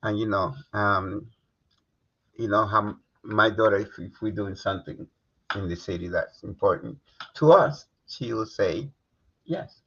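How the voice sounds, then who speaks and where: Male host, loose and chatty, slightly husky, dull stream sound. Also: on the low side, measured pace. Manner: relaxed, rambling with fillers, laughs easily. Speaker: talk show host. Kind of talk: casual live-stream chat.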